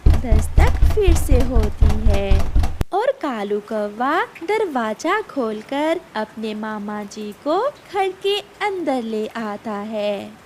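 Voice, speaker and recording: A woman's voice: on the high side, sing-song, drawn-out words, a little mellow, slight background noise. A woman storyteller reading scripted narration for a children's cartoon fable.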